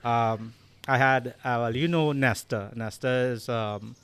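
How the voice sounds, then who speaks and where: A man's voice: mid-range voice, a little slow, a bit bright, smooth. A man with a Trinidadian accent, a dog breeder, telling an anecdote with amusement in a casual interview. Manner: amused storyteller, laughing often. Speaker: Rottweiler breeder, guest.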